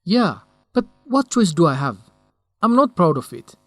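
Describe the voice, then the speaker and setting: A lovesick young researcher in a radio play, a fairly high voice that sounds earnest and a bit defensive. it is recorded close and dry, with faint music under it. he sticks stubbornly to his plans. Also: very animated, a little slow, a bit bright, dry recording, heavily acted. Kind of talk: radio drama acting.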